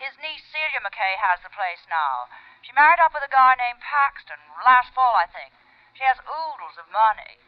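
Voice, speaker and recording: Smooth, flirty newspaperwoman. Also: sits high, big pitch swings, normal speed. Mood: breezy, helpful. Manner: playful, teasing, familiar. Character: flirty, breezy female newspaper society columnist. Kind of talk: 1940s-50s radio drama acting. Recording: muffled old radio, telephone sound.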